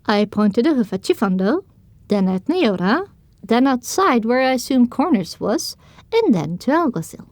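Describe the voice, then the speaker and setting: A woman with a somewhat high voice delivers scripted first-person narration for an audio drama. The sound is dry and close, with no room around it. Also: sing-song, moderate pace, a little mellow, dry recording.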